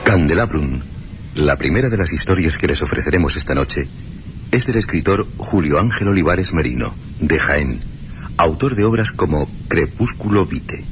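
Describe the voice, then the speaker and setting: A man's voice: a bass voice, expressive, moderate pace, a little hiss or hum. A man presenting a radio story programme in a formal, scripted style.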